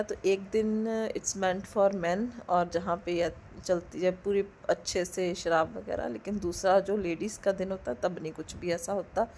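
Hoarse woman chatting over her vlog. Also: fairly low pitch, a little mellow, a little hiss or hum. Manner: chatty, loosely phrased, mixing English words into her talk. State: hoarse throat from a cold. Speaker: vlogger. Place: indoors at home, recording the voice-over. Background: a dog barking now and then.

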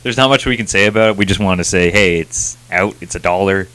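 A young man with a deep voice chats as a co-host on a review show. His talk is informal and slangy with casual swearing, spoken into a handheld mic. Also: fast talker, a bit bright. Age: young adult. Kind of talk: casual chat. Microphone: handheld microphone.

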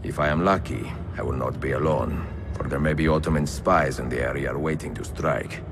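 An actor playing an aging Renaissance assassin in a video game, narrating a letter in a gravelly, measured, solemn and courtly way. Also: male voice, rumbling low, fairly even intonation. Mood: solemn, tender. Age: older. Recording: noisy background ambience.

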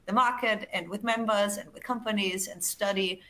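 Woman presenter, Austrian accent, bright and forceful tone, eager, repeats words, video call. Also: medium pitch, fairly even intonation, normal speed. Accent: Austrian German. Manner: enthusiastic, repeats words and restarts. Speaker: webinar presenter, research executive. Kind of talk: presenting slides in a webinar.

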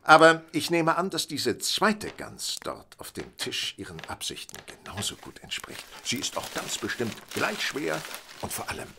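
Radio drama detective: shrewd, wry, self-assured, forceful and husky, very animated melody. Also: male voice, a little high, a little hiss or hum, heavily acted. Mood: wry, playful. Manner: theatrical, deliberate, wry. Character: shrewd, self-assured, playfully smooth-talking gentleman detective. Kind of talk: German radio play acting.

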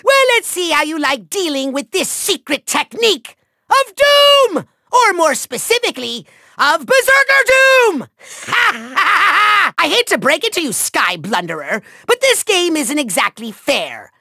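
A man voicing a small, megalomaniac cartoon villain in a video game, with a shrill, gravelly, nasal voice and bombastic, gloating, theatrical delivery. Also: wildly swooping pitch, pushed and bright, no echo, studio quality, exaggerated voice. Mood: taunting. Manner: bombastic, gloating, sneering delivery with drawn-out words.

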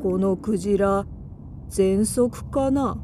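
A woman playing a friendly, easygoing tuna fish in a radio drama, casual and unhurried. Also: medium pitch, dark tone, a little hiss or hum, natural voice. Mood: calm curiosity. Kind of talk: Japanese radio drama acting.

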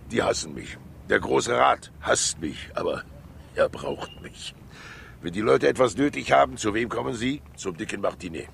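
An actor playing a fat, wily, talkative older state councillor in a 1960s radio crime drama, jovial and theatrical, rambling with asides and pauses. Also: male voice, medium pitch, vocal fry, fairly bright tone, full character voice. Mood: genial, wry. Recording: hissy 1960s radio recording.

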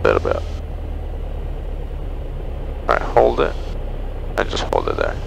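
A man's voice: rumbling low, wildly swooping pitch, slow pace, creaky. A man working as a flight instructor, giving short coaching instructions over a headset in a small airplane cockpit. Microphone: aviation headset mic. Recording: loud aircraft engine noise.

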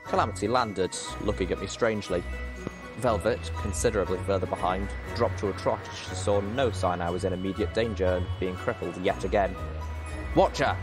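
A man's voice: low voice, fairly even intonation, fairly quick, over music. A man reading a scripted story aloud as its narrator. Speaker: narrator, reader.

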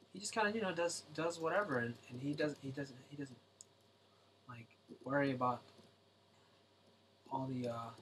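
A man hosting a casual late-night drawing livestream at home, his voice a little raspy and kept low so as not to wake anyone. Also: unhurried, long pauses, slight background noise, poor audio. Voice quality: speaks softly to keep voice down. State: slightly raspy from singing.

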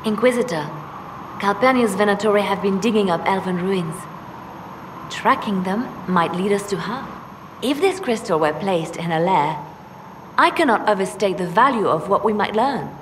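An actress playing a hooded female spymaster in a fantasy video game, speaking in a measured, persuasive way. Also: medium pitch, expressive, in a large hall, background noise, close to an everyday voice. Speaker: advisor.

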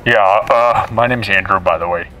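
A man in his twenties speaking through a megaphone in an awkward, deadpan prank style. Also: lowish voice, normal speed, low-quality recording. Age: 20s. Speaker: prankster and video host. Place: campus lawns and lounges, some distance from camera. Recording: megaphone voice amid background chatter.

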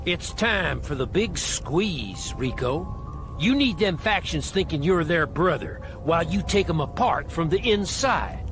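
Game mentor: jovial American agent, middle-aged, folksy, high-set voice, American accent. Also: male voice, lively intonation, a little slow, music in the background. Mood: briefing, confident. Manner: folksy, breezy. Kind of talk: video game cutscene acting.

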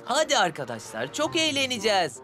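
An actor playing an enthusiastic young circus magician in a cartoon dub, upbeat and persuasive like a pushy coach. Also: male voice, high-pitched, wildly swooping pitch, brisk, pushed and bright, full character voice. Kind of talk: dubbed children's cartoon acting.

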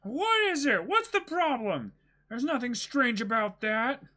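A man voicing a naive, excitable, carefree boy adventurer in a manga reading. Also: extremely high pitch, measured pace, voice pushed up, full character voice. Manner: brash, carefree.

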